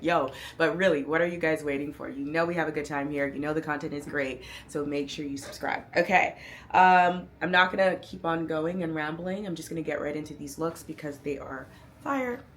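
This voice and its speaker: A woman in her thirties with a notably low, rich speaking voice, chatting to camera about her outfit. She is upbeat and playful, tossing in slang and hyping herself up. There is a faint hiss of noise behind her. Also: fairly quick, fairly bright tone. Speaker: fashion and lifestyle vlogger. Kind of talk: casual outfit breakdown to camera.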